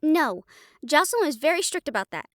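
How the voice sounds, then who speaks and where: A woman's voice: sits high, big pitch swings, fairly quick, a bit bright, no echo, clean studio sound. A woman playing a perky, girlish, well-meaning but scatterbrained young royal in a fantasy audio roleplay. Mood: upbeat, assured. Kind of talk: dramatic audio roleplay acting.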